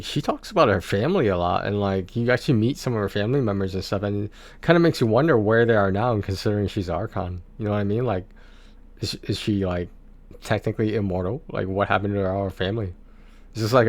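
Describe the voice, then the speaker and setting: A man chatting casually on a game livestream, talking in a rambling, halting way and often repeating his words. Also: on the low side, expressive.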